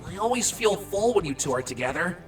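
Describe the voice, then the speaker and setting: Woman playing innocent changeling boy, lively n matter-of-fact, bit far from the mic, cartoon audio drama. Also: fairly low pitch, brisk. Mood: innocent, frank. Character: innocent, frank young male changeling creature in a cartoon. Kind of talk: fan fiction audio drama acting. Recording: distant mic, music behind.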